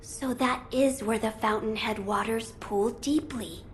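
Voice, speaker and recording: An actress voices an earnest boy lord in a video game. The delivery is composed and courtly, with quiet resolve. Faint noise sits behind the voice. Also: on the high side, unhurried, natural voice. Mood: thoughtful, resolute. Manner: formal, thoughtful, composed. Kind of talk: video game cutscene acting.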